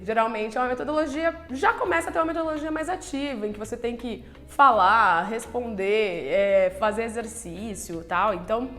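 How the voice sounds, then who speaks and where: A nutritionist, talking frankly to camera in a chatty way, full of filler words and rhetorical questions. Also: female voice, on the high side, fairly bright tone. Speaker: nutritionist and channel host. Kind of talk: casual talk to camera.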